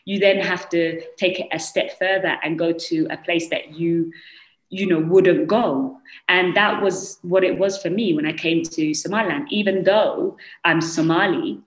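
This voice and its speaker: British woman, low voice, earphone mic, echoey small room, animated storytelling. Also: unhurried. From United Kingdom. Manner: animated storytelling, many "you know"s. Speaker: panelist, entrepreneur. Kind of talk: video call panel interview. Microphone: earphone mic on a video call.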